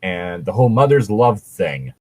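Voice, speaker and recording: Animated, slightly husky man bantering casually. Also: fairly low pitch, moderate pace. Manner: crude, joking, sweary. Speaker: co-host reading fan fiction aloud. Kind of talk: casual comedic reading with banter.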